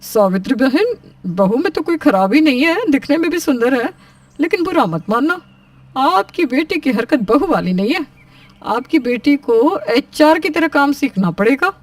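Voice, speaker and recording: A woman's voice: high voice, big pitch swings, normal speed, no echo, distant mic. A woman playing a stern, demanding older mother-in-law in story dialogue, formal and firm.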